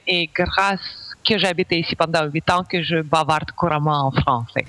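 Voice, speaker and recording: A middle-aged woman from Ukraine with a clear Ukrainian accent, speaking French as a second language. She talks in an unhurried, searching way, pausing often to find the right word. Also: sits low, a bit bright. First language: Russian. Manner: halting French with pauses and searching for words. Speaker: radio guest. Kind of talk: casual radio interview.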